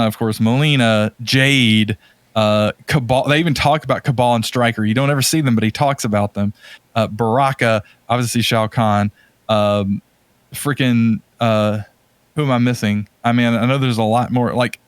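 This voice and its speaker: A man's voice: medium pitch, clean tone, no echo. A man co-hosting a podcast, chatting casually and enthusiastically, rambling as he thinks aloud. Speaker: podcast co-host. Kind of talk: casual chat about video game movies.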